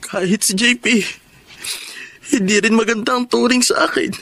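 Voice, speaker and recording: A man's voice: extremely high pitch, fairly even intonation, normal speed, sharp tone, heavily acted. A Filipino man playing a meek, mistreated young son in a radio drama, timid, polite and hesitant. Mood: sorrowful.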